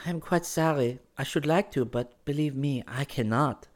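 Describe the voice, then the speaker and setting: Man voicing a shy young Frenchwoman, pitched high. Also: moderate pace, natural voice. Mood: regretful. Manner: formal, careful, hesitant phrasing. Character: young French woman, shy, secretive, feverish bohemian.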